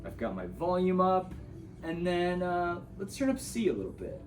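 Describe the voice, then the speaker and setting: A man's voice: high voice, very animated, moderate pace, over loud music. A man giving a casual, hands-on gear tutorial, explaining things step by step as an instructor.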